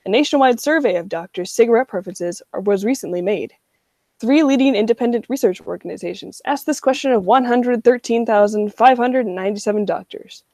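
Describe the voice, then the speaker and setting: A woman's voice: medium pitch, mellow, no room sound. A woman announcer reading a scripted parody of an old radio advertisement.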